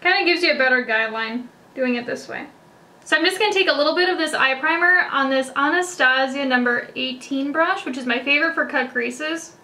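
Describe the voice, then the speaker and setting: A young woman in her twenties chatting through a makeup tutorial, a little raspy after hiccups, full of "like" and "kinda". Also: sits high, brisk, sharp tone. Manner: chatty, many "like" and "kinda" fillers. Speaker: beauty vlogger. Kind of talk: casual makeup tutorial talk. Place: indoors before a plain backdrop.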